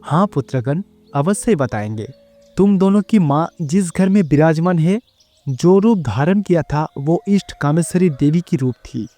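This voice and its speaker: A man playing a calm, kindly god who lives as husband and father in a storybook tale. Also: on the high side, a little slow, faint music behind. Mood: explaining. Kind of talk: storybook character dialogue.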